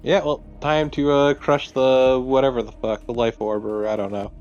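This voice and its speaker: A man's voice: medium pitch, level tone, normal speed, darkish tone, soft background music. A man co-hosting a Let's Play with casual, informal banter, recorded on a slightly dull mic.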